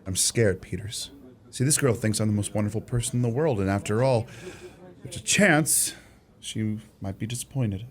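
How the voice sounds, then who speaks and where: An actor playing an earnest, romantic and easily exasperated shop clerk in a radio play. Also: male voice, lowish voice, normal speed, natural voice. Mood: shy, smitten. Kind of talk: radio theatre acting.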